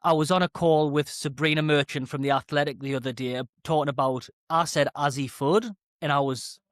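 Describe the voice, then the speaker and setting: A British man hosts the interview, with a voice on the higher side for a man. He asks long, rambling, chatty questions and tends to wander off topic. The sound is close and dry. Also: fairly even intonation, a little fast, no echo. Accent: British English. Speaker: podcast host. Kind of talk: casual interview.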